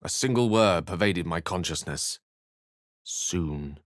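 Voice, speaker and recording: An eloquent, low-voiced wizard. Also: male voice, animated, slow pace, no echo, studio quality. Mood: ominous narration. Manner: articulate, literary, theatrical phrasing. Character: eloquent, bookish male wizard, wry, courteous and brooding. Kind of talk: video game voice acting, narration and dialogue.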